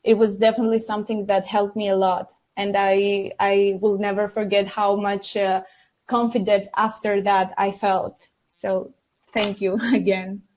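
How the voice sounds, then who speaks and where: A woman speaks slowly as a podcast guest. She pauses often and fills the gaps with small hesitations and restarts. Her voice sits at an ordinary middle pitch. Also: little pitch movement, darkish tone. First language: Greek. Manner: hesitant, many fillers and restarts. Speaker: podcast guest, former mentee. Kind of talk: unscripted podcast answer.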